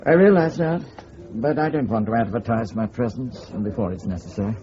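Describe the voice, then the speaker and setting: An actor playing a cool, formal, middle-aged English security investigator in a radio drama, with a clipped and controlled way of talking. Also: male voice, on the low side, fairly quick, highs cut off, a crowd in the background, close to an everyday voice. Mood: measured, guarded.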